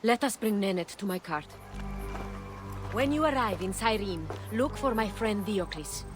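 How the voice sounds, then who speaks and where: A woman's voice: mid-range voice, expressive, a little slow, music in the background, natural voice. Game role: actress as compassionate female healer, mid-range voice, earnest and solemn, faint score underneath. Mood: solemn, caring. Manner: grave, earnest. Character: compassionate, earnest woman healer, troubled by war. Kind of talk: dramatic video game acting.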